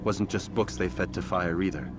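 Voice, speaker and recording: An actor playing a stern young assassin in a game cutscene, speaking in terse, measured, solemn lines. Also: male voice, low-pitched, steady tone, loud music behind the voice, lightly acted. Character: stern young male assassin, grim and disciplined. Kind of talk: video game cutscene acting.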